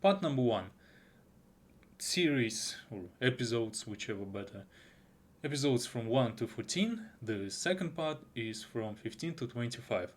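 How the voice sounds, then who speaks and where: A man's voice: mid-range voice, expressive. A young man whose first language is Russian, talking through an unscripted anime review with lots of restarts and fillers. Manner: halting, with frequent restarts and fillers. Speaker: YouTuber.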